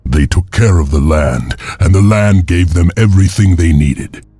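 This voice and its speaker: An actor playing a solemn, vengeful heir of an enslaved warrior clan, speaking gravely and deliberately in a dramatic video game style. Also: male voice, deep voice, mellow, soft background music. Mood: solemn, mournful. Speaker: story narrator and lead character. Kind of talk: dramatic scripted game narration and dialogue.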